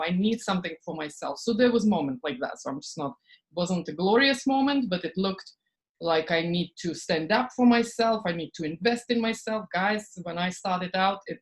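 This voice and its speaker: A woman from Estonia, a podcast guest talking casually and acting out her inner thoughts in lively asides. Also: on the low side. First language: Russian. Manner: animated, acts out her own inner voice in quoted asides, frequent "like" and soft laughs. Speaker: podcast guest, spiritual business coach. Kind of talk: casual interview talk.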